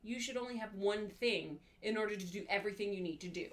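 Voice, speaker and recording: A woman's voice: mid-range voice, quick pace. A woman co-hosting a casual book club livestream, explaining her thoughts point by point.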